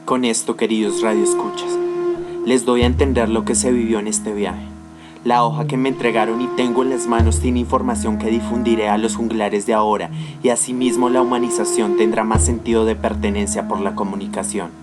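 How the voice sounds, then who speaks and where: A man's voice: on the low side, little pitch movement, fairly quick, lightly acted. A young man playing an earnest time-traveling chronicler in a scripted radio drama. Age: young adult. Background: loud instrumental music.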